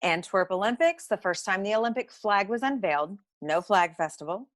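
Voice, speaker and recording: An actress playing a brisk, know-it-all detective agency secretary in a noir comedy audio play, curt and dryly superior. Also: female voice, medium pitch, expressive, a bit bright, natural voice. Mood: testy, curt.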